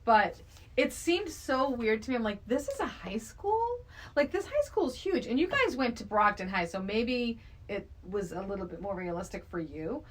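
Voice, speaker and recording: A woman's voice: fairly high pitch, very animated, normal speed. Middle-aged woman, emphatic casual chatter. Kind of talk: casual film discussion.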